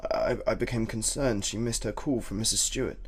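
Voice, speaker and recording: An actor playing a well-bred Edwardian general and devoted husband with a British accent, in a radio play. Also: male voice, on the low side, a little fast, slightly rough. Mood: shaken, halting.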